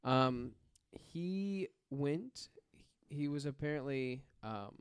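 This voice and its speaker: A man telling a true story casually and haltingly on a podcast, with joking asides. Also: mid-range voice, lively intonation, smooth, dry recording.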